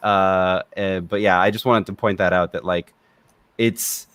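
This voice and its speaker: Low-voiced man casually talking film. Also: steady tone, unhurried, fairly bright tone, clear, resonant tone, no echo. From Romania. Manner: opinionated, casually profane. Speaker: film review podcast co-host.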